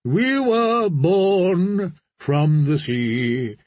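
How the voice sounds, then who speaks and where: A stern, zealous prophet of a sea god, preaching slowly and with weight, as a man puts him on. His voice sits a little raised, husky around the edges, and swings widely in pitch as he calls out. The sound is close and dry. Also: animated, a little mellow, no echo, full character voice. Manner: solemn, preaching, measured.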